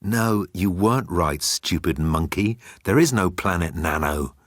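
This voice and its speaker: Male narrator as a smug alien hustler, low voice, animated, scornful, condescending, audiobook sci-fi comedy. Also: a little slow, no echo, studio quality, heavily acted. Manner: mocking, condescending. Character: cocky, sly alien swindler, scornful of humans.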